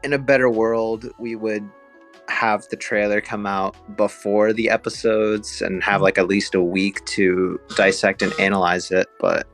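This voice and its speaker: Young male podcast co-host, deep voice, conversational anecdote delivery over faint music. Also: flat delivery, measured pace, fairly bright tone. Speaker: podcast co-host, video reviewer. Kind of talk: casual chat, personal anecdote.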